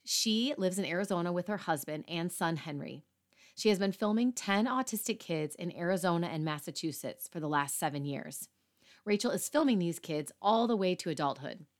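A woman hosting a parenting podcast, slightly hoarse, talking earnestly with fillers. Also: lowish voice, animated, moderate pace. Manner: earnest, apologetic asides with fillers. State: slightly hoarse after illness. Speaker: podcast host. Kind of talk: podcast intro and interview.

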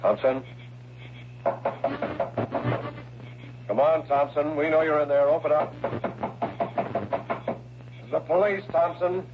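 Actor as commanding police lieutenant, tinny old radio. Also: male voice, fairly high pitch, moderate pace, natural voice. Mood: forceful, angry. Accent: American. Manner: authoritative, emphatic, clipped orders. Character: brisk, commanding male police lieutenant, gruff and impatient. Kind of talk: 1960s radio drama acting. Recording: thin, narrow, noisy old radio broadcast.

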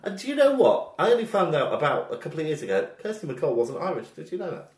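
A man's voice: on the high side, big pitch swings, quick pace. A British man co-hosting a podcast in casual comic chat, teasing and playful. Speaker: podcast co-host. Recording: roomy echo.

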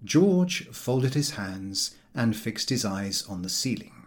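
A man reading a comic novel aloud as an expressive audiobook narrator. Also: lowish voice, slow.

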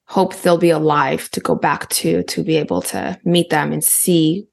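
A woman with an American accent, a guest on a podcast. Her voice sits low and carries a gravelly fry. She talks in quick, animated bursts and laughs often. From Ukraine. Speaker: guest, Paralympic athlete. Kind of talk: casual podcast conversation.

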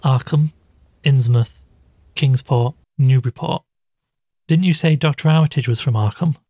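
An actor playing a practical, impatient man investigating a mystery in an audio drama, with a husky voice. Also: medium pitch, normal speed, warm tone, no echo, muffled recording, natural voice. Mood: focused, urgent. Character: practical, impatient male investigator hunting a cult.

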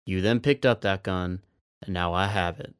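Man acting Darren, a resigned, lower-voiced soldier. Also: normal speed, smooth, no echo, clean studio sound, close to an everyday voice. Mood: grave, deliberate. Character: Darren, resigned trapped soldier, gently persuasive. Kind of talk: audio drama dialogue.

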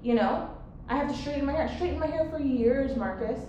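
A woman's voice: high voice, a little fast, mellow, muffled recording, slight background noise. A woman guest on a podcast who talks bluntly, swears often and laughs a lot. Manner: blunt, profane, frequent laughter. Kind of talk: casual podcast chat. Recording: very echoey room.

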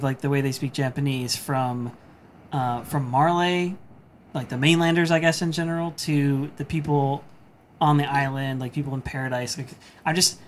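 A man's voice: fairly high pitch, fairly bright tone, slight background noise. An American man in casual chat, rambling tentatively and leaning heavily on filler words. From United States.

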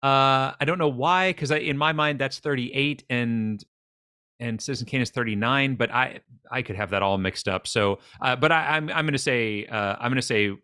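A man with a bright, mid-pitched voice, recorded dry. He chats casually as a podcast quiz contestant, hesitant and self-doubting, often circling back over his own words. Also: normal speed, smooth, no room sound. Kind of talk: casual chat.

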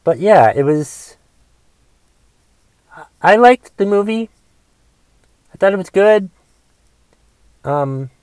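A man with a raspy voice from a cough, rambling casually and with some swearing as he reviews a movie. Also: sits high, no echo, low-quality recording. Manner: rambling, profane, wondering aloud.

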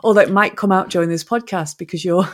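A woman from Manchester hosting a podcast, talking gently and hesitantly with frequent ums. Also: on the low side, quick pace. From Manchester, England. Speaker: podcast host. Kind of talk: casual chat.

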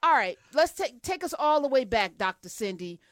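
A woman hosting a talk show, welcoming and questioning a guest in an energetic, conversational way. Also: high voice, normal speed, dry recording, clean studio sound. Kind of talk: interview hosting.

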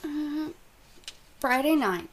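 An actress playing a secretive young woman from a cursed family, guarded and hushed. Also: sits high, animated, drawn-out words, exaggerated voice, rough recording. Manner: hushed, guarded, then pleading. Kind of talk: audio drama acting.

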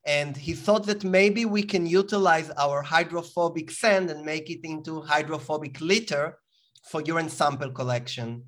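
A man from Israel speaks with an Israeli accent in a slightly higher-than-usual voice. As an interview guest, he explains things patiently, with fillers and restarts along the way. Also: fairly even intonation, normal speed, fairly bright tone. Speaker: guest, company founder. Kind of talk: interview answers.

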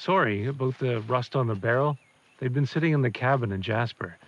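A man playing a bereaved, brooding middle-aged father in an audio drama, speaking in a halting, folksy way. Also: medium pitch, a little hiss or hum, close to an everyday voice. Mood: eager, folksy. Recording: slightly muffled recording.

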